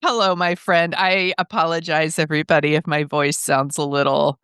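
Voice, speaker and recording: A woman with a head cold, sniffly, co-hosting a podcast with wry, deadpan quips. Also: deep voice, normal speed, smooth, no echo, studio quality. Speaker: podcast co-host. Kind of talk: casual podcast chat.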